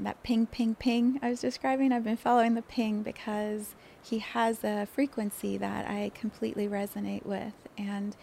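A woman in her fifties talking alone in an earnest, meandering way, with frequent fillers, restarts and soft laughs. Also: medium pitch, vocal fry, a little hiss or hum. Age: 50s. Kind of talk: reflective solo talk.

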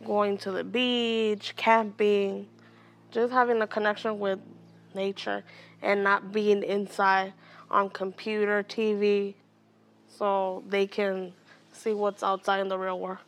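A young woman from Oakland, an educator and mentor, answering interview questions outdoors in a slow, careful way. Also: medium pitch, little pitch movement. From Oakland, California.

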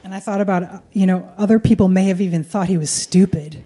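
A woman's voice: mid-range voice, fairly even intonation, fast talker, warm tone, slightly rough. A woman preaching a sermon in short phrases, in a conversational, colloquial way. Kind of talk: sermon delivered in short phrases for live interpretation.

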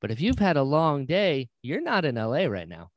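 A man hosting a podcast interview, enthusiastic and playful, agreeing warmly with his guest. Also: a little high, wildly swooping pitch, normal speed, no echo, clean studio sound. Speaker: podcast host.

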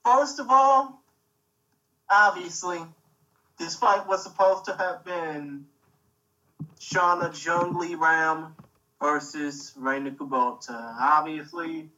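A man's voice: fairly high pitch, slow pace, pauses often, pushed and bright, far from the mic, highs cut off. A man co-hosting a casual podcast chat, swearing freely with dry teasing. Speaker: podcast co-host.

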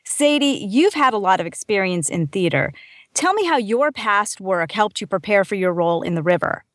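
A woman's voice: medium pitch, sing-song, moderate pace, a bit bright, no echo, studio quality. Woman interviewer asking friendly questions. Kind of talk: interview hosting.

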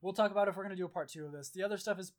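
Man, hoarse voice, slightly high pitch, rambling gaming podcast chat, lots of um and like, blunt and profane. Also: very fast. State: hoarse, losing his voice. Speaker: podcast host. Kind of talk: casual chat.

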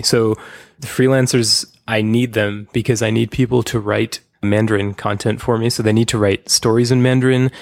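Man, casual co-host, low pitch, dry close sound, candid and relaxed. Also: no room sound. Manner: relaxed, candid, with laughs and asides. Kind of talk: casual chat.

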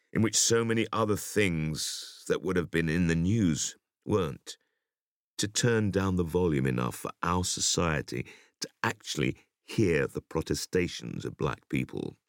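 A man reading an interview for an audiobook in a measured, articulate and emphatic way. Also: a bass voice, gravelly, dry recording, clean studio sound. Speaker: audiobook reader.

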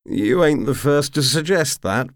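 A man's voice: medium pitch, animated, measured pace, no room sound, clean studio sound, voice pushed up, close to an everyday voice. A man voicing a tipsy, roguish mercenary commander in an audiobook, drawling and rambling with boastful charm. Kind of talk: audiobook character acting.

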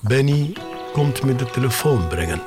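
A man narrating an audio drama in the first person, in a measured, expressive way with pauses between short phrases. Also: medium pitch, music in the background.

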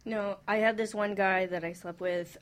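A woman's voice: mid-range voice, fairly even intonation, normal speed. A woman on a radio talk show, chatting casually and candidly with exclamatory emphasis.

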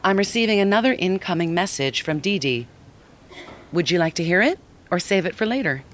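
An actress playing a neutral, mechanical seeing-eye app in an audio drama, announcing flatly and precisely. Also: female voice, fairly low pitch, a little fast, a little hiss or hum, close to an everyday voice.

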